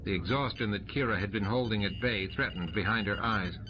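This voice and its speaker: A man's voice: on the low side, a little fast. A man giving scripted narration of a science fiction novel as an audiobook narrator. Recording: dull lo-fi tape, noisy ambient effects. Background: added ambient sound effects.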